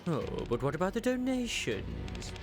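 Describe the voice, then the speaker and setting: An anxious crew member from an anime game, acted by a man. The delivery is deferential and apologetic in tone, with lively pitch movement and music behind. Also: normal speed, creaky, full character voice.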